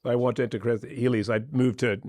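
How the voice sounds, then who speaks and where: A middle-aged man with a Dublin Irish accent, halting and repeating words as he explains in a casual group chat. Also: mid-range voice, fairly even intonation, darkish tone, dry recording. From Ireland (Dublin). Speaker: member of a charity club.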